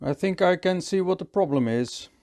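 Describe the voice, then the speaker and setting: A man with a Dutch accent, calmly thinking aloud as he works through a hands-on repair tutorial. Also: sits high, moderate pace, creaky. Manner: calm, thinking aloud while working. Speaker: repair technician.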